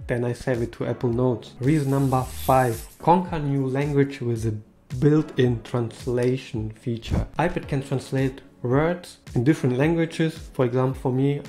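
Non-native English man, mellow tone. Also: medium pitch, fairly even intonation, moderate pace. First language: not English. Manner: slightly non-native phrasing, upbeat. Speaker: tech video host. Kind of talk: casual explainer to camera. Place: small room indoors.

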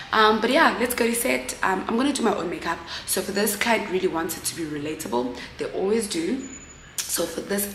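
A woman's voice: on the low side, vocal fry, a bit bright, echoey room, a little hiss or hum. A young woman from South Africa in her twenties, chatting upbeat and direct to her viewers while holding her phone camera at arm's length in a room at home. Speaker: vlogger. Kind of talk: casual vlog chat to camera. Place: indoors at home, a bedroom. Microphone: handheld phone camera at arm's length.

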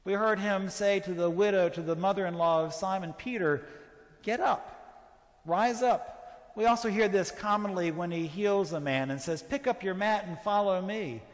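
A man's voice: high voice, moderate pace. A middle-aged man, a priest, giving a conversational sermon while standing and gesturing in a large church sanctuary, picked up on a lapel mic. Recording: church reverb from a fixed camera.

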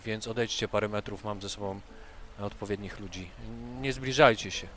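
A man's voice: lowish voice, normal speed, fairly bright tone. A man playing a tabletop role-playing game, speaking for his character and describing his actions.

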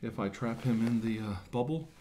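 A middle-aged man playing an earnest scientist who leads an armed mission, speaking in halting, measured phrases on a noisy recording. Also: medium pitch, dark tone, close to an everyday voice. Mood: earnest, commanding. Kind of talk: amateur sci-fi role-play, briefing a team.

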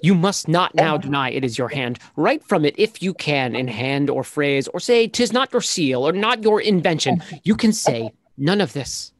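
A man acting an indignant wronged steward, swinging pitch. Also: expressive, moderate pace, few pauses, no room sound, lightly acted. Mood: pleading, indignant. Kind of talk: live table read of Shakespearean verse drama. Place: indoor room, video call.

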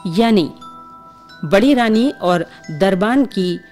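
A woman's voice: mid-range voice, lively intonation, extremely slow, a little mellow. A woman narrating a scripted bedtime story for children as the storyteller. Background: music with chimes.

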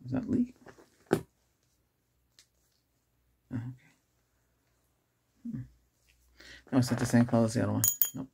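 Middle-aged man, soft ASMR exam roleplay, gravelly and breathy, unhurried, mid pitch with lively movement. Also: slight background noise. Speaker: ASMR performer playing an ER doctor examining a patient. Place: small indoor setup with cloth backdrop.

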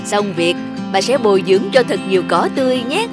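A woman's voice: medium pitch, expressive, fairly quick, loud music behind the voice, lightly acted. Woman acting hearty old farm woman. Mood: pleased, generous. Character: kindly, proud old farm woman, easily flattered. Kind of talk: scripted cartoon dubbing.

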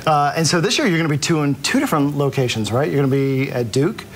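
A man's voice: fairly high pitch, fast, slight background noise. A man in his thirties co-hosting a morning TV show, upbeat and teasing, on a lapel mic in a studio. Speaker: TV co-host. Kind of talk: casual morning TV chat. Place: TV studio set.

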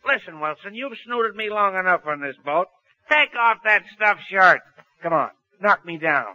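A dull old radio recording carries a brash, drunken man needling his shipmates. His voice is high and very sharp, swinging up and down in 1940s radio comedy style. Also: animated, moderate pace, heavily acted. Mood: drunken, belligerent.

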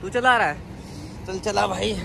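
A man's voice: sits high, normal speed, gravelly, bright, forceful tone, some chatter behind, a little hiss or hum. Chatty young man vlogging from his car. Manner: chatty, addresses viewers as bhai. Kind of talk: casual road trip vlog. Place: inside a car at night.

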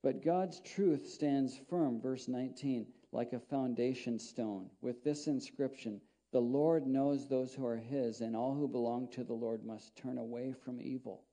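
Mellow-voiced middle-aged man preaching. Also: medium pitch, steady tone, a little slow, hardly any pauses. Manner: conversational, rambling, with frequent restarts. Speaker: pastor. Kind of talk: sermon with scripture reading. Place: small church sanctuary, indoors.

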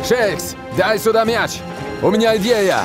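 A man voicing a brisk football team captain in a cartoon. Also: sits high, expressive. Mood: commanding. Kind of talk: cartoon dub acting. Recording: music behind the voice.

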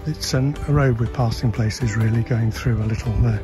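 A man with a relaxed, chatty manner, narrating a tour of a campsite as he walks, recorded outdoors on a handheld camera. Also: mid-range voice, moderate pace, music in the background. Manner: relaxed, chatty, guiding with frequent fillers. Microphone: handheld camera, filming while walking.

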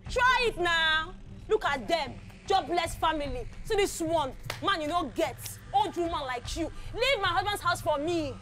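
Woman as a sassy loudmouth wife, high voice, jumps up and down a lot. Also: animated, unhurried, exaggerated voice. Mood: taunting loud. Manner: sassy and confrontational. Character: demanding, loud-mouthed young wife. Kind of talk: comedy skit acting.

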